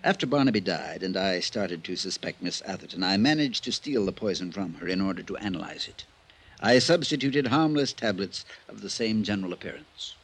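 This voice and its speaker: A thoughtful small-town doctor for an old radio drama. The voice is lowish and raspy, and he explains things calmly, in measured phrases. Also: heavily acted, rough recording. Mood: calm, reflective. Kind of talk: old-time radio mystery acting.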